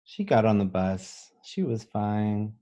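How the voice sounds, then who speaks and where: A man reading a children's picture book aloud as a teacher, pausing to think aloud and explain things simply. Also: on the low side, animated, warm tone, lo-fi sound. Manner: pauses to pose questions and think aloud.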